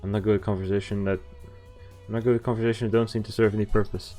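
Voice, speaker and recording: A man's voice: on the low side, level tone, very fast, warm tone, faint music behind. A man streaming a visual novel, casually reading game text aloud and adding informal, jokey commentary. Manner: informal, jokey asides between read lines.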